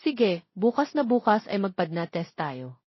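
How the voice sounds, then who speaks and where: A woman voicing an indignant, tearful, sharp-tongued young pregnant single woman in a dramatized romance story. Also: mid-range voice, big pitch swings, measured pace, slightly rough, no room sound, highs cut off. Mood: firm, cold.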